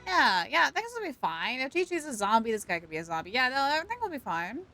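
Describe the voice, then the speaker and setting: A woman streaming a game, chatting animatedly and thinking out loud. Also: on the high side, very animated, quick pace, soft background music. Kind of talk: casual live-stream commentary.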